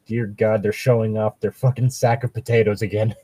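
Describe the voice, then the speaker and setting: A man's voice: fairly low pitch, little pitch movement, brisk, warm tone, slightly rough, dry recording. A man chatting casually as a co-host, joking and ranting sarcastically with plenty of swearing. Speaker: co-host reading and mocking fan fiction.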